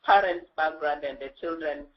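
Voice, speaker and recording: Male co-presenter, higher register, halting delivery, dull lo-fi sound. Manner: halting, searching for words. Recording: muffled, band-limited webinar audio.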